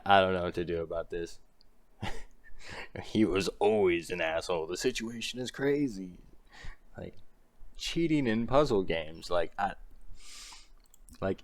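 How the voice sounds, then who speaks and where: Deep-voiced young man ranting, exasperated. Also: no room sound. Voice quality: low voice. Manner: exasperated, rambling, laughs often between points. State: strained throat. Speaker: commentary creator. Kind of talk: ranting commentary.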